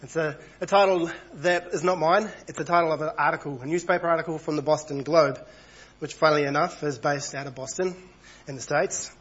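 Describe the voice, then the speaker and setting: A man over thirty-five, husky from a cold, preaching an evening church sermon in a conversational way and reading passages aloud. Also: a little high, steady tone, fairly quick, a bit bright, highs cut off. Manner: conversational, reads passages aloud, small asides.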